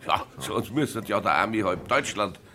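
An actor playing a smug, suspicious Bavarian pub know-it-all in a radio crime play, explaining things knowingly. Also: male voice, on the low side, expressive, moderate pace, bright, forceful tone, a little hiss or hum.

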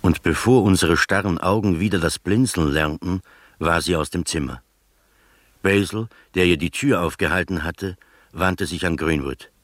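Gentleman narrator, low voice, measured, literary, bemused, radio drama, close dry studio. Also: no room sound. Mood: bemused, recounting. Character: gentleman narrator recounting his strange adventures, bemused, literary.

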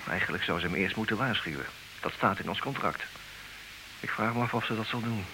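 An actor playing a talkative betrayed husband in a Dutch comic radio play, theatrical and wry, wavering between agitation and resignation. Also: male voice, lowish voice, a little fast, close to an everyday voice. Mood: bitter resignation. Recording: hissy old radio play recording.

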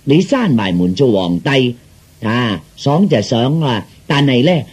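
A man takes the part of a sceptical empress in a comic audio story. The character is blunt and earthy, grumbling sarcastically in a husky, unpolished voice. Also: mid-range voice, big pitch swings, slow, a little mellow, heavily acted. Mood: sarcastic scolding. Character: blunt, nagging, sceptical empress, wife of emperor.

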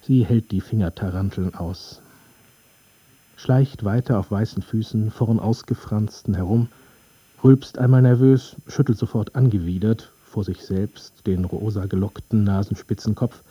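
An actor playing a brooding, sardonic narrator in a literary radio play, speaking in terse, dry, deliberate fragments. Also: male voice, on the low side, fairly even intonation, warm tone, breathy edge, no echo, muffled recording. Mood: wry, observing.

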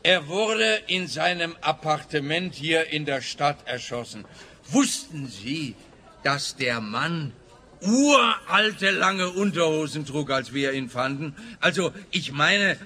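A pompous, rambling police detective in a comic radio play. His voice is high for a man and very sharp, and he takes his time, halting as he goes, with faint noise behind him. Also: lively intonation, heavily acted. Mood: musing, nosy. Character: bumbling, chatty, self-satisfied male police detective.

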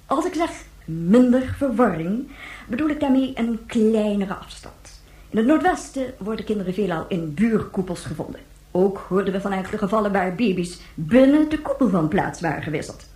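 An actress playing a grave, controlled government spokeswoman in a radio drama, speaking formally and with measured emphasis. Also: medium pitch, expressive, mellow, close to an everyday voice. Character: grave, controlled female government official facing a press interview. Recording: roomy, echoing radio drama recording.

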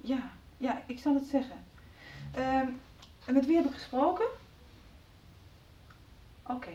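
A woman's voice: high voice, expressive, a little slow, dark tone. A woman playing a worried, irritable teenage daughter in a radio soap. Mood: flustered. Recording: dull, roomy, distant 1990s radio recording.

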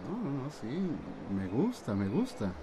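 A man's voice: mid-range voice, wildly swooping pitch, a little slow, noisy background. An actor playing an easygoing, teasing male friend in a radio soap, with a Costa Rican accent. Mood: friendly. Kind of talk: radio soap opera acting.

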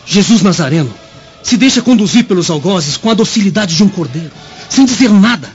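An actor playing an anxious, earnest governor's aide in a radio drama, formal and urgent in delivery. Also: male voice, high voice, expressive, fairly quick. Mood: earnest, awed. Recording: dull lo-fi with faint music.

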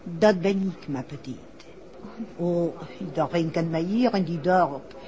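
An actress playing a coaxing, knowing French fairground fortune-teller in a 1960s radio drama, with a French accent and French phrases sprinkled in. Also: female voice, on the low side, takes it slowly, dark tone. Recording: dull old radio recording.

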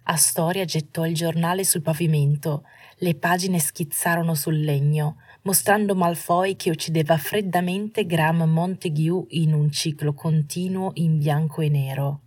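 A woman's voice: low voice, fairly even intonation, measured pace, hardly any pauses, a little mellow, slightly rough, dry recording. A woman reading a scripted story as an audiobook narrator.

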